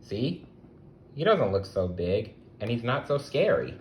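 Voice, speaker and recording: A man reading a children's story aloud as storyteller, expressive, with conversational asides to the listener. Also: on the low side, measured pace, a little hiss or hum.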